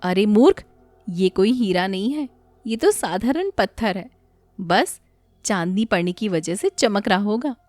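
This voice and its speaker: Woman voicing a scolding, lively village landlord. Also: medium pitch, measured pace, no echo, soft background music, natural voice. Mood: admonishing. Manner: scolding, lecturing. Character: mocking, admonishing village landlord, older man in authority. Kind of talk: animated moral story voice acting.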